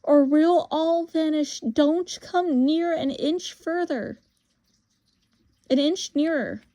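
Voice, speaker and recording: A woman's voice: mid-range voice, takes it slowly, dark tone, natural voice. A woman voicing a brave, stubborn young boy in a storybook, plain-spoken and defiant. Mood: alarmed, defiant.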